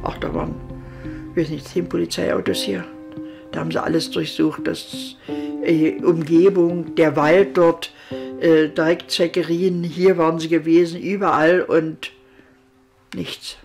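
A woman's voice: low voice, flat delivery, measured pace, creaky, darkish tone. An older woman giving a spontaneous interview, recalling events in plain, short phrases. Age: older, grandmother. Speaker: interviewee, relative of missing man. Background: guitar music.

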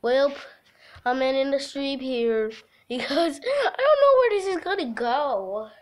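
A woman's voice: high-pitched, animated, slow. A giggly woman hosting a casual game livestream, chatting and reading aloud from a visual novel. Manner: giggly, breaks off into laughter. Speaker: livestream host.

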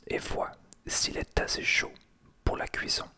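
Cruel old witch whispered by a guy, slow hushed voice, bright hiss. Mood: commanding. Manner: whispered throughout. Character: cruel old female witch, child-eating.

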